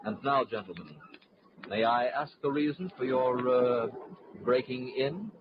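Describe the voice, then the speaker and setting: An actor playing a suave, icily polite husband with a secret, in formal, measured old-time radio drama style. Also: male voice, medium pitch, expressive, close to an everyday voice. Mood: smoothly polite. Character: suave, coldly courteous husband hiding a secret. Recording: muffled, noisy old radio recording.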